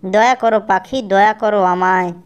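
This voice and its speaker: A woman's voice: mid-range voice, moderate pace, smooth, highs cut off, close to an everyday voice. A woman voicing a pitiful, suffering banana tree that pleads, in a children's cartoon. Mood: pleading, pained. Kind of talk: Bengali children's cartoon dubbing.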